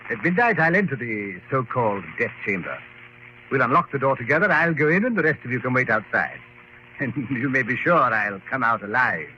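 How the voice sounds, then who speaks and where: An actor playing a confident, scornful aristocrat with breezy diction in an old radio drama. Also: male voice, medium pitch, big pitch swings, a little fast, pushed and bright. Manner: hearty, breezy, old-fashioned upper-class diction. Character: confident English aristocrat, jovially scornful of ghost legends. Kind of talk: 1950s radio drama acting. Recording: noisy lo-fi old radio recording.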